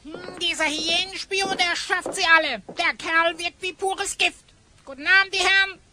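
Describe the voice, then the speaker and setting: A woman's voice: extremely high pitch, fairly even intonation, moderate pace, bright, forceful tone, slight background noise, heavily acted. A woman playing a curious, cheeky little sidekick in an audio drama, with a pert, inquisitive manner. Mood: scornful, cheeky. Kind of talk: German children's audio drama acting.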